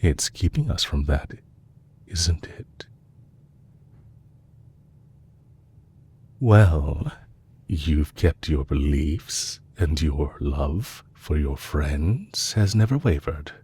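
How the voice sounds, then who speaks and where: A man playing a composed, courteous villain leader who is possessive and lovestruck, speaking formally and deliberately in an intimate romantic audio roleplay. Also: rumbling low, expressive, a little mellow, breathy edge, full character voice. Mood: measured, tender. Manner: formal, deliberate, courtly phrasing with long pauses.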